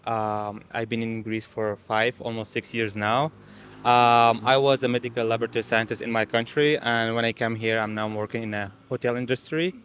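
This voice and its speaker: A man from Iraq introducing himself on a panel, briskly with a few hums. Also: mid-range voice, flat delivery, a bit bright, slight background noise. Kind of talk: self-introduction.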